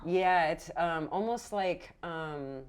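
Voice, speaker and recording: A woman improvising narration as a game master in a casual, animated, rambling way. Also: on the low side, slow, a little hiss or hum. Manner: animated, rambling, acts out characters' lines.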